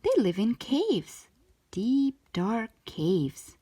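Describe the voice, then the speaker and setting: A woman's voice: on the high side, sing-song, drawn-out words, dark tone, husky, exaggerated voice. A woman voicing a kindly, playful mother in a children's storybook, singsong and musing as she humours her child.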